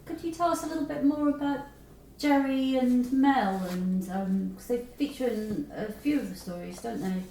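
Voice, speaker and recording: A woman's voice: on the high side, moderate pace, warm tone. A woman interviewing an author conversationally, searching a little for her words as she goes. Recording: echoey, distant mic with background noise.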